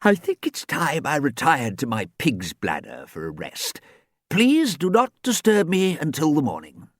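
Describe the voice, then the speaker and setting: A man's voice: high voice, wildly swooping pitch, moderate pace, a bit bright, dry recording, studio quality, voice pushed up, heavily acted. A man voicing a fussy, proud old ghost who coaches a children's football team, speaking in a formal, old-fashioned way. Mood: weary.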